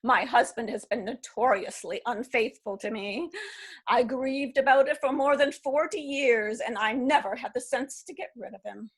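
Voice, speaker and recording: An actress reading the part of a defiant seventy-one-year-old divorcee who laughs at old age, in a readers theatre play. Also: female voice, fairly high pitch, moderate pace, husky. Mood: gleeful. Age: 70s. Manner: laughing, brash and amused. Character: spirited elderly divorcee, mischievous and defiant. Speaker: actor.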